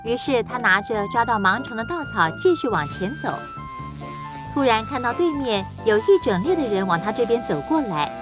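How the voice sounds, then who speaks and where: A woman narrates a children's fairy tale in a fairly high voice. She follows a script in storybook style, with clear music behind her. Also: very animated, moderate pace.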